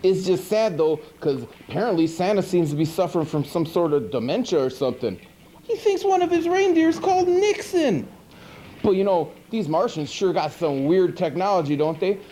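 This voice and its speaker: A man's voice: high voice, big pitch swings, normal speed, dark tone. A man playing a goofy monster who hosts a horror movie show, rambling in slangy, exasperated comic commentary. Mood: riled, rambling. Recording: noisy 1980s VHS TV tape.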